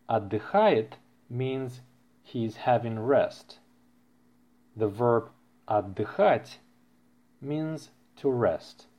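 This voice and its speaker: Slow, deliberate man teaching a language. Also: mid-range voice, mellow. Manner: deliberate, carefully enunciated, repeats phrases for learners. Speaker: language teacher and podcast host. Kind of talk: slow language-lesson podcast reading practice dialogues. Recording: slightly muffled lo-fi podcast recording.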